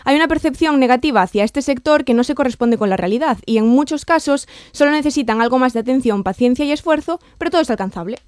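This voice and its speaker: A woman reporter reads her news package at a fast clip. Her voice sits a little high and sounds dry and close, with almost no room around it. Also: animated, darkish tone, no echo. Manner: brisk scripted delivery. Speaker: field reporter.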